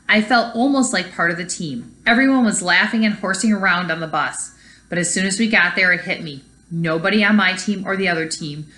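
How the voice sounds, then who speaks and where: A woman's voice: medium pitch, bright, forceful tone. A woman teacher reading a children's story aloud expressively and explaining it to young pupils. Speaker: elementary school teacher.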